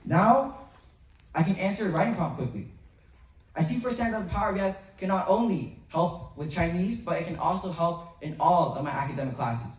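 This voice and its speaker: A young boy in middle school delivers an earnest memorized speech to his school, pausing between phrases and sometimes stumbling over a restart. His voice sits a little high. He sounds far from the mic, with the echo of a large hall. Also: lively intonation. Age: young teen, 7th grade middle school student. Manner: earnest, with pauses, some restarts. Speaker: student speaker. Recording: roomy, distant from the mic.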